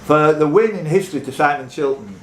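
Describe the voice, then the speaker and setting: A man's voice: on the high side, fairly quick, slight background noise. A man hosting a live pub quiz, reading out questions with jokey, teasing, chatty asides. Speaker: quiz host. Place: indoors in a pub. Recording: roomy echo, faint music behind.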